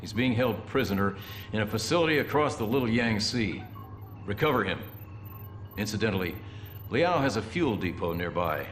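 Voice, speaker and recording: Curt, low-voiced army colonel. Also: male voice, lively intonation, normal speed, fairly bright tone, roomy sound, a little hiss or hum. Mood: curt, scheming. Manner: curt, authoritative, clipped orders. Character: hard, suspicious, commanding male army colonel. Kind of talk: game mission briefing acting.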